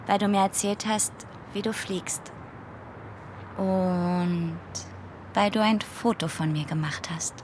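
A woman's voice: medium pitch, fairly even intonation, slow, long pauses, background noise, close to an everyday voice. A woman playing a bold, outspoken teenage girl in a radio play, direct and challenging in manner. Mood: earnest. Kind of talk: German radio play acting.

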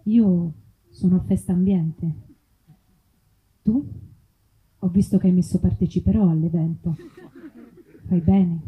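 A woman's voice: on the low side, close to an everyday voice. A woman playing a flirtatious, chatty phone-line lady in a spoof advert, speaking suggestively through muffled, phone-like sound. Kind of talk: parody advert voice-over.

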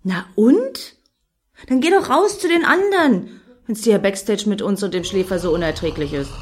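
An actress plays a scheming woman in a satirical radio drama. She speaks in a theatrical, deliberate way at an ordinary female pitch. Her phrasing is lofty and solemn, as if every remark were a grand verdict. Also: expressive, slight background noise, close to an everyday voice. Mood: defiant. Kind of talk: satirical German radio play acting.

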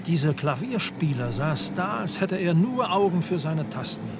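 A talkative police sergeant in a German crime radio play. His voice is on the higher side, and he talks keenly with a slightly cheeky edge. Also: sing-song, normal speed, noisy background. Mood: pondering. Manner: keen, chatty, slightly cheeky. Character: eager, talkative police sergeant seeking promotion.

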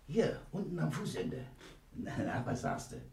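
An actor playing a gruff, impatient burglar plotting a jailbreak, blunt and conspiratorial, with a gravelly voice, in a 1960s radio crime drama, heard echoey and at a distance. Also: male voice, on the high side, wildly swooping pitch, a little slow, very noisy.

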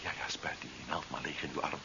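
An actor playing a brusque, impatient, sardonic sci-fi hero in a seventies radio drama. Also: male voice, extremely low pitch, steady tone, fast, vocal fry, bright, forceful tone, slightly rough, in a whisper, full character voice. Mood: cold menace. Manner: curt, commanding, sardonic. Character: brusque, impatient male sci-fi hero pursuing his wife. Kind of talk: 1970s Dutch radio drama acting. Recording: dull, hissy 1970s radio recording.

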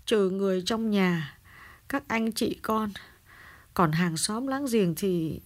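A man's voice: high-pitched, takes it slowly, voice pushed up. A man voicing a remorseful injured young woman. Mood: weary. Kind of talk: audiobook character voice.

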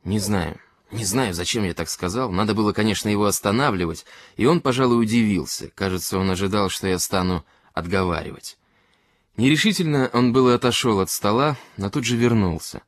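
A man's voice: lowish voice, a little fast, no echo. A man narrating a literary short story expressively, as an audiobook reader.

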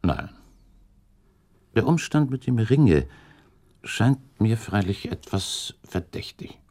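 A man playing an earnest, puzzled gentleman companion to a prince in a German radio play, speaking formally and respectfully. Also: on the low side, sing-song, takes it slowly, full character voice. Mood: doubtful, pondering.

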